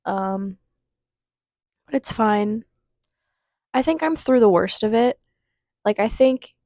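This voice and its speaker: A woman's voice: medium pitch, darkish tone, dry recording. A young woman in her twenties with a cold and a stuffed-up nose, chatting casually to her viewers in a rambling way full of fillers and tangents. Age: 20s. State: congested with a cold. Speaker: vlogger. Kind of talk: casual chat to camera. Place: vape shop counter.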